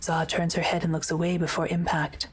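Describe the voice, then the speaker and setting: A woman's voice: lowish voice, little pitch movement, fairly quick, breathy edge. A woman reading scripted scene narration for an audio drama.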